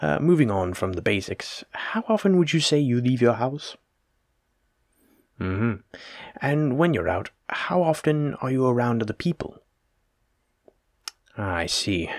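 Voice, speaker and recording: A man's voice: medium pitch, no room sound, studio quality, lightly acted. A man playing a courteous, evasive, self-important plague doctor in an audio roleplay, speaking formally and fussily in old-fashioned wording. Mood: clinical, courteous. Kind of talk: hobby audio roleplay acting, addressed to the listener.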